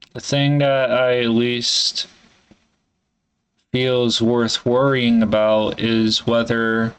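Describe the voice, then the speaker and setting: A man in a candid solo vlog, thinking aloud in a halting, hesitant way and swearing casually. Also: medium pitch, smooth, rough recording. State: congested. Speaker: vlogger.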